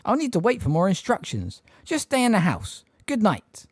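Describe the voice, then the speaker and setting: A man voicing an eccentric, fussy older woman who talks briskly and scolds impatiently. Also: sits high, huge pitch swings, no echo, clean studio sound, voice pushed up, heavily acted.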